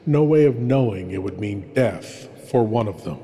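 A man hosting a horror show, narrating in a slow, ominous, theatrical way. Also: fairly low pitch, lively intonation, dark tone. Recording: reverberant with music behind.

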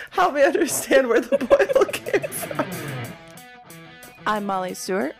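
A woman with a gravelly, fry-laden voice, chatting casually and candidly as a podcast co-host, with crude joking and animated storytelling. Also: sits high, takes it slowly, music in the background. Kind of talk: casual comedic podcast chat.

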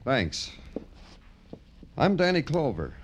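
An actor playing a wry, hard-boiled Broadway police detective in clipped, deadpan old radio noir style. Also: male voice, mid-range voice, takes it slowly, a little hiss or hum. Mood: wry probing. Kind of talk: 1940s-50s radio noir acting and narration. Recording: vintage radio drama with music.

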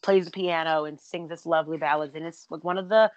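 Raspy-voiced woman hosting a chatty podcast. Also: sits low, fairly even intonation, no echo, dull audio. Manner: chatty, fast-talking with many "like" and "literally". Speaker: podcast host. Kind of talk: casual chat. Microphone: remote call, cutting out at times.

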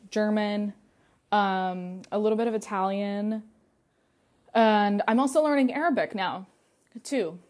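A woman's voice: medium pitch, a little mellow. Chatty young woman podcast host. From United States. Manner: chatty, many fillers and "like". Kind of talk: casual personal monologue.

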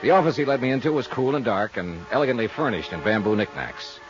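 A man acting as a wry American male investigator from a 1950s radio serial. He talks fast and clipped, with lively swings of pitch and a businesslike edge. The recording is dull and old, with faint music. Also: close to an everyday voice. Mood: brisk narrating. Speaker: lead actor and narrator.

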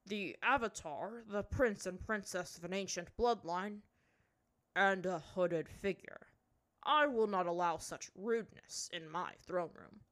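Woman playing a stern, measured older male chief. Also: medium pitch, fairly bright tone, natural voice. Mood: stern, musing. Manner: formal, measured, authoritative. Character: dignified, stern older male high chief of fire people.

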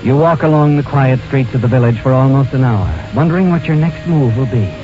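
An actor playing a knowing, taunting suspense narrator in an old radio drama, speaking deliberately in the second person. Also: male voice, mid-range voice, natural voice. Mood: tense, insinuating. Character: knowing, insinuating male storyteller addressing the culprit. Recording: dull old radio recording with music.

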